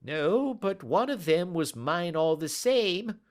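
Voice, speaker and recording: A man's voice: huge pitch swings, a little slow, vocal fry, heavily acted. A man reading aloud and voicing a nervous, frightened little man with a quavering, whining voice. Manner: high quavering whine.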